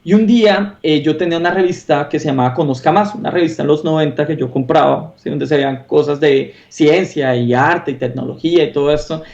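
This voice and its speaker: A man from Colombia with a Colombian accent and a fairly high voice talks as an interview guest. He is enthusiastic and emphatic, often checking in with a questioning tag. The audio is slightly dull and lo-fi. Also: a little fast, hardly any pauses, darkish tone. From Popayán, southern Colombia. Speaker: interview guest, concept artist. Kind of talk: casual conversational interview.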